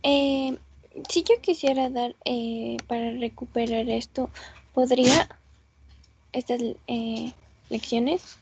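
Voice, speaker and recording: A woman's voice: on the high side, steady tone, slow, long pauses, darkish tone, breathy edge. A young woman, a student speaking tentatively to her teacher over muffled lo-fi audio.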